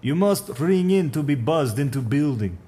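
A man's voice: fairly high pitch, lively intonation, normal speed, dark tone, voice pushed up, natural voice. A man voicing a tall male building supervisor with a Russian accent, speaking stiff, broken English. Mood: stern.

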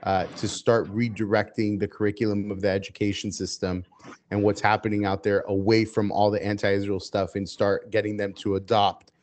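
A man who grew up in the United States speaking Hebrew first, answering interview questions at length in a measured, steady way with frequent "uh" fillers. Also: on the low side. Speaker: interview guest.

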